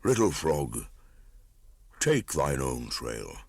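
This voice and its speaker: A weary old bear, voiced by a male storyteller, speaks slowly and gently. The voice is extremely low and grave, a tender old teacher in a storybook, with a dry, close sound. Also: very animated, husky, dry recording, exaggerated voice. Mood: tender, consoling.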